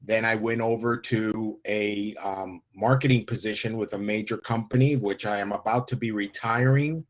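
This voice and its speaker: A man's voice: on the low side, fairly even intonation, normal speed, few pauses. A man in his fifties from the United States, a life coach guest speaking earnestly and inspirationally. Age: 50s. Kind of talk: interview talk.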